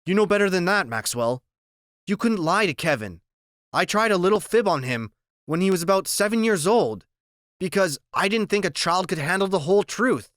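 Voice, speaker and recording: A man voicing a gentle, weary woman doctor who speaks in a soft, earnest, consoling way. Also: high voice, no room sound, studio quality, voice pushed up.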